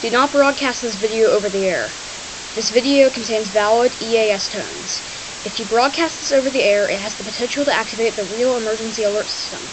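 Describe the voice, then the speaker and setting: Higher-voiced woman announcer with clear hiss. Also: brisk. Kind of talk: scripted announcement. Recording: hissy noisy audio.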